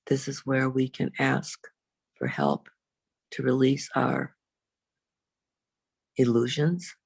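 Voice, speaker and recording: A woman reverend leading a guided meditation in a slow, soothing, unhurried way, with long pauses. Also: big pitch swings, a little mellow, dry recording. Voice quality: low, husky, creaky.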